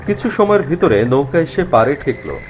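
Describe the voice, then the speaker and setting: A man narrating a spooky first-person story in an expressive, dramatized way. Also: fairly high pitch, normal speed. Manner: expressive, acts out the character's worried thoughts. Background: faint music.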